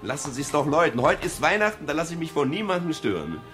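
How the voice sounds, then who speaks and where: A man's voice: fairly high pitch, big pitch swings, quick pace, music in the background. Male private detective, radio play. Theatrical and gallant, widely swinging intonation. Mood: blustering. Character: sentimental, bossy, flirtatious male private detective. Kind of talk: radio crime drama acting.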